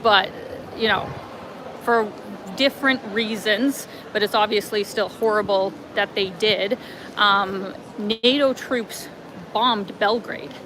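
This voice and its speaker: A woman's voice: medium pitch, slow. A woman vlogger chatting casually while walking outdoors in light rain, reading viewer comments on a livestream with lots of fillers. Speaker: travel vlogger reading live chat. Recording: clear street noise behind. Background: city traffic and passing vehicles.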